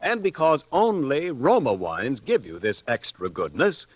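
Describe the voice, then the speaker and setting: A male radio announcer reading scripted sponsor copy in a smooth, persuasive manner. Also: mid-range voice, huge pitch swings, a little slow, no echo.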